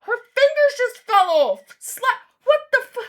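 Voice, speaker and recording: A woman hosting a read-along in a casual live commentary style, with expressive, exclamatory reactions. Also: very high-pitched, a little slow. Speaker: host of a manga read-along stream.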